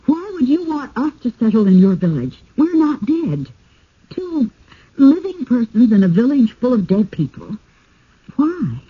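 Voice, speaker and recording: An actress playing a hesitant, uneasy but reasonable wife in a radio drama, speaking haltingly. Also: female voice, on the high side, big pitch swings, husky, exaggerated voice. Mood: anxious. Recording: dull old radio recording.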